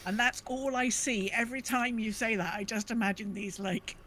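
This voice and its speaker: A woman's voice: on the high side, normal speed, noisy background. A British woman chatting casually as a podcast co-host. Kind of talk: casual chat.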